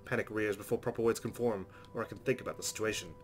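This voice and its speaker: A man's voice: on the low side, steady tone, fast. A man hosting a let's play, reading visual novel narration aloud expressively and adding his own commentary. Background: soft game music.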